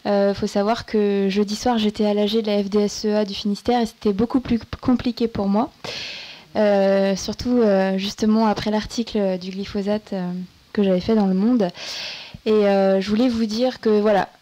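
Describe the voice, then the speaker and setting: A woman's voice: medium pitch, level tone, normal speed, mellow. A woman speaking as a panel guest, introducing herself and her views with frequent hesitations. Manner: hesitant, many fillers. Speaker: panel guest, member of parliament and farmer.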